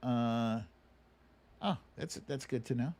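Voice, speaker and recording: A man's voice: medium pitch, takes it slowly, darkish tone, no echo. A middle-aged American man hosting a casual livestream, informal and opinionated, often repeating words for emphasis. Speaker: livestream host.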